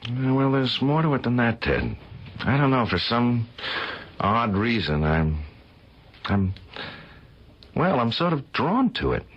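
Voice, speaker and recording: A man's voice: medium pitch, very animated, takes it slowly, pauses often, noisy background. A man playing a curious, friendly colleague in a vintage radio drama, chatty and informal. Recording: dull, lo-fi old radio recording.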